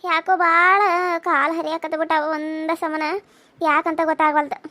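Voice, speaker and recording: A weary old mother-in-law pleads for water in a slow, drawn-out voice, put on by a woman. Her voice sits very high and thin for her age, tired and pleading. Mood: faint, tired. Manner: pleading, sighing, drawn-out complaints.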